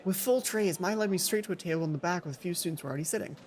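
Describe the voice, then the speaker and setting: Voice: medium pitch, very fast, a little mellow. A let's play host reading a visual novel aloud theatrically, with casual, playful asides. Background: music.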